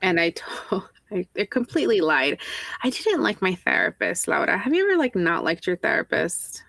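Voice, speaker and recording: Young texan woman hosting a podcast, low and gravelly, dry close mic, laughs a lot. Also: sing-song, moderate pace, a bit bright, no echo. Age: young adult. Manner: laughs often, self-mocking. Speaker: podcast host. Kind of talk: casual chat.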